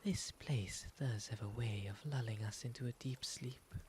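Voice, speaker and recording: A man voicing a gentle, refined owl demon in an ASMR roleplay, with soft, breathy, soothing, formally polite speech. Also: mid-range voice, steady tone, close to an everyday voice. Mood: tender, soothing. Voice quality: soft, breathy, often whispery. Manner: soothing, genteel, unhurried formal phrasing. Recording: noticeable ambient background noise.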